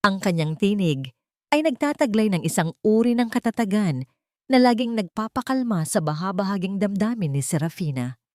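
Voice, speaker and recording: A woman reading a scripted dramatic story in a deliberate, melodramatic storytelling manner. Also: mid-range voice, sing-song, a little mellow, no echo, clean studio sound. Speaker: storyteller, narrator.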